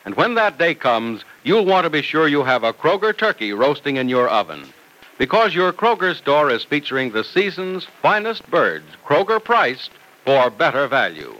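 Animated, bright vintage radio announcer man. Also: on the high side, rough recording. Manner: polished, emphatic salesmanship. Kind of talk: 1940s-50s radio announcing, scripted pitch.

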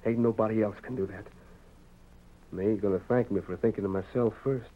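A man's voice: lowish voice, fairly even intonation, a little fast, lightly acted. An American actor playing an exhausted, dutiful frontier husband and father with a folksy Western drawl, in old-time radio style. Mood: earnest, stubborn. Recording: muffled narrowband vintage radio recording.

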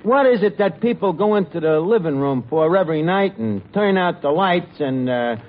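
An actor playing a cocky, half-educated New York bar manager who talks pompously in 1940s radio comedy style. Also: male voice, high voice, animated. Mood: patient, explaining. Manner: pompous malapropisms, drawn-out delivery. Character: cocky, half-educated wise-guy bar manager mangling big words. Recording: muffled old radio recording, noisy, audience.

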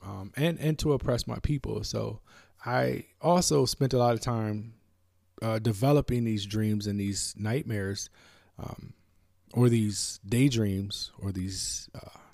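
A man from the United States hosting a podcast, talking alone in a reflective, halting way with many fillers and restarts. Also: on the low side, creaky, darkish tone, dry recording, studio quality. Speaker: podcast host. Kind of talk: reflective solo talk.

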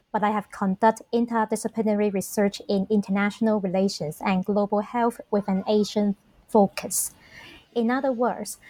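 A woman's voice: medium pitch, a little mellow, no room sound, poor audio. Composed woman academic, dry close sound. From Hong Kong. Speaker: political scientist, guest. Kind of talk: interview self-introduction.

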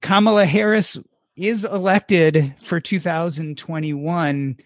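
A man's voice: fairly high pitch, fairly even intonation, takes it slowly, no room sound, studio quality. A man hosting a panel discussion, putting probing questions to his guests. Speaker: host. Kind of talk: moderating a panel.

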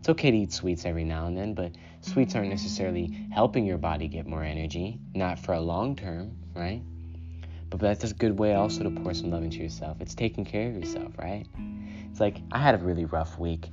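A man with a very low voice hosts a solo self-care podcast and talks in a calm, unhurried way, often saying "you know". The recording sounds dull and lo-fi. Clear guitar music plays behind him. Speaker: podcast host.

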